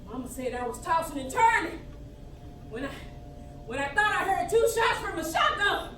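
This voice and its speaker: Echoey far-off recording, woman playing a scared young country girl in a stage monologue, halting and haunted, voice swings all over. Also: big pitch swings, fairly bright tone, exaggerated voice. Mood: subdued, haunted. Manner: halting, repeating words, highly emotional storytelling. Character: frightened young country girl recounting an attack, haunted, defiant. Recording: echoey room, distant mic, faint noise.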